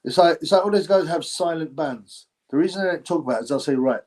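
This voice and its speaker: A man's voice: fairly high pitch, expressive, fast talker, darkish tone. A man hosting a casual live stream, rambling, reading chat and laughing often between filler words. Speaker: boxing channel host reading chat.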